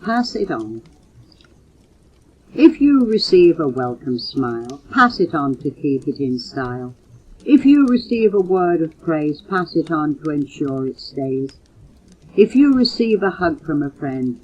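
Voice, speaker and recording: Older female poetry reader, low pitch, unhurried earnest delivery, dull lo-fi home tape sound. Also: sing-song, darkish tone, husky. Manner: measured, earnest recitation, announcing titles and authors. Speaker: mother reading poems for her children. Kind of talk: recited poetry reading. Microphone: home cassette recorder. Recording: muffled old home audio cassette.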